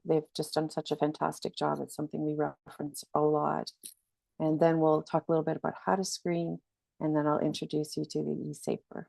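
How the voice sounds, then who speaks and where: A woman's voice: sits low, vocal fry, mellow, no room sound. A woman with a slight cold, giving a webinar training presentation in an earnest, explanatory way. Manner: earnest, explanatory, frequent restarts. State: has a cold, slightly scratchy.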